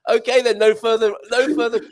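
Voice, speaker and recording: A man's voice: very high voice, level tone, brisk. A man hosting a live chat show, joking around, laughing often and reading out viewer comments. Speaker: host.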